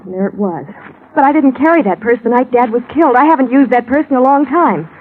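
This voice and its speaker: A woman's voice: high voice, fast, phone-quality sound. A woman playing an earnest, indignant young woman in a 1950s radio drama, speaking properly and emphatically. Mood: troubled. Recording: thin, noisy old radio recording.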